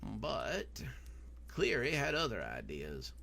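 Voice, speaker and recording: An angry, bitter man in a horror story, acted out by a male narrator. He speaks gruffly and insistently, with a creaky edge and animated pitch. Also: slow, full character voice.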